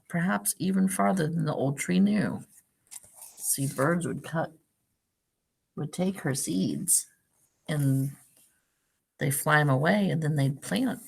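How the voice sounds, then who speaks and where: A woman reading a picture book aloud to young children, pausing for simple questions and explanations like a teacher. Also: low voice, gravelly, slightly rough. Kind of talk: read-aloud storytime with asides and questions to young listeners.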